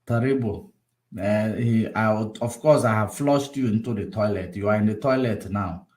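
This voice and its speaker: A man hosting a political livestream, combative and repetitive, swinging between loud outbursts and low muttering. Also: normal speed. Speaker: livestream host. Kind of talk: casual political commentary livestream.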